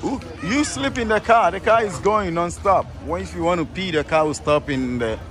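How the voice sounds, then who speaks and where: A man's voice: high voice, moderate pace. A man with a Ghanaian accent casually explaining things as a tour guide, often repeating and rephrasing himself. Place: outdoors at a busy bus station. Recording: outdoor street noise. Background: street and vehicle noise.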